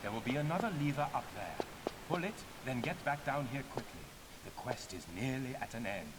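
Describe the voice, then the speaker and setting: An actor playing a stern, condescending older archaeologist with a German accent, giving measured instructions in a video game. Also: male voice, medium pitch, big pitch swings, very noisy, lightly acted. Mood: instructive. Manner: formal, measured, superior. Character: stern older male European archaeologist mentor, pedantic and condescending. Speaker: tutorial guide.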